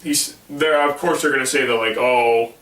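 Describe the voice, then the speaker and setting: Man, podcast co-host, hoarse, blunt, bright tone, echoey room, off-mic. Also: medium pitch, normal speed, recorded from a distance. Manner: blunt and dismissive, reads news aloud. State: hoarse, losing his voice. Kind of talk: casual gaming podcast chat.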